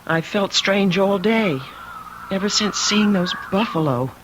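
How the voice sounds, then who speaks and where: A woman's voice: mid-range voice, a little slow, noisy background, natural voice. An actress playing a dazed, fatalistic woman traveler with a dreamy, brooding delivery, in an old-time radio drama.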